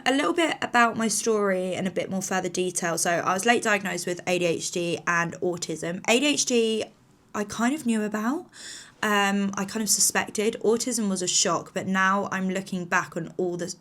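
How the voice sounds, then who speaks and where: A woman's voice: on the low side, fairly bright tone. A woman in her thirties from England, chatting casually to camera in a rambling, slightly nervous way with lots of fillers. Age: 30s. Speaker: vlogger.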